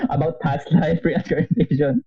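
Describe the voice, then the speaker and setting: A man in his thirties, a podcast guest telling his story in a casual, animated way, laughing often and restarting sentences. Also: on the high side, unhurried, gravelly, dull audio, crowd noise. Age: 30s.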